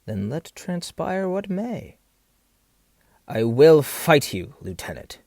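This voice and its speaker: Male actor for volatile captain in period audio drama; theatrical, indignant, very animated delivery, close dry sound. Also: a little high, slow pace, no echo, heavily acted. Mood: indignant, defiant. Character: volatile, proud, accused sea captain and husband. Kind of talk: stage-play acting in an audio drama.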